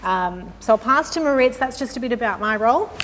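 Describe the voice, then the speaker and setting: A woman's voice: on the high side, lively intonation. Woman presenting in a reverberant hall. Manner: friendly, measured, with frequent "um" and "uh". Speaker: sustainability consultant presenting. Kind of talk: live industry presentation. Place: conference room with an audience. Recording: long hall reverb with faint noise.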